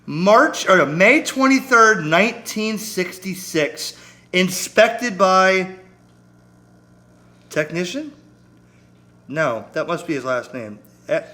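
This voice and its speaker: A man hosting a casual hobby radio vlog, chatting to camera in an excitable way and often repeating himself. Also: high-pitched. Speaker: ham radio vlogger, host.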